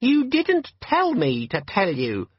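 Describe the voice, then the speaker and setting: High squeaky pocket demon, petulant know-it-all; dull, muffled audiobook sound. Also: male voice, huge pitch swings, slow pace, breathy edge, no echo, heavily acted. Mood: sulky. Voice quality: squeaky, cheerful, nagging. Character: squeaky, sulky pocket demon organizer gadget.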